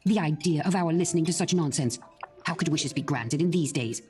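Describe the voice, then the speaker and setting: A woman playing an anxious, excitable old housewife and mother in a dramatic horror story reading. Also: sits low, fairly quick, soft background music, lightly acted. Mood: scoffing, teasing. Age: older. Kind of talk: dramatic horror audiobook acting.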